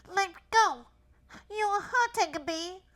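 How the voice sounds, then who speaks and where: A small elephant boy out of a storybook, acted out by a woman reader. The voice sits high, with painstakingly polite, formal phrasing. He sounds earnest and pleading. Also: lively intonation, moderate pace. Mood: pained, indignant.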